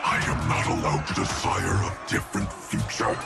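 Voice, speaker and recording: An actor playing a proud, defiant warrior in a video game, with a gravelly voice and a curt, menacing manner. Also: male voice, low-pitched, normal speed. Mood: resentful. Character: proud, defiant male warrior, cold and resentful. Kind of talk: dramatic video game cutscene acting. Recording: loud music behind the voice.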